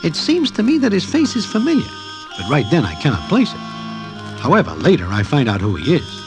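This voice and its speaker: An actor playing a wry, streetwise Broadway storyteller who narrates in mannered present-tense slang, in 1940s radio style. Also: male voice, on the high side, huge pitch swings, a little fast, over music, rough recording. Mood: wry, storytelling. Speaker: narrator and character.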